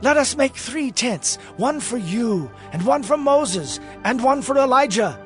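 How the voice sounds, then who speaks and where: A man's voice: extremely high pitch, lively intonation, a little slow. A man playing an eager, awestruck disciple in a dramatized audio Bible. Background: faint music.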